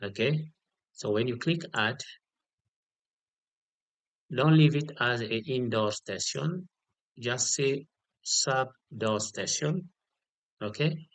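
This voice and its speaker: Slow-paced man giving step-by-step instructions. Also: mid-range voice, expressive, fairly bright tone, dry recording. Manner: instructional, repeats key details and checks with "okay". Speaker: tutorial instructor. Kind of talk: step-by-step software tutorial, unscripted.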